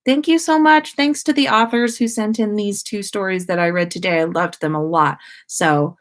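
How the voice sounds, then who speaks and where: A woman hosting a story-time livestream, speaking in an expressive, informal way as she reads and chats. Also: mid-range voice, normal speed. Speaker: host of a fan fiction story-time livestream. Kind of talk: expressive story reading and casual livestream chat.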